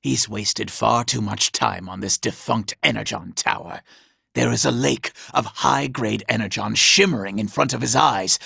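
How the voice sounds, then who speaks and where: Vain scheming robot villain for a game, super gravelly n sharp, sneery and pompous. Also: male voice, lowish voice, no room sound, clean studio sound, full character voice. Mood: exasperated. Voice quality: raspy, gravelly. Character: vain, scheming, treacherous robot villain, cowardly under threat. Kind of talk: video game character acting.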